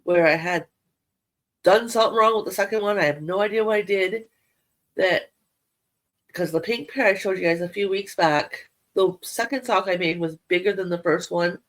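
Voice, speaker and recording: A woman's voice: mid-range voice, normal speed. Nasal woman with a head cold. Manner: chatty, rambling, self-deprecating. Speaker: yarn crafting vlogger. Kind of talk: casual show-and-tell chat.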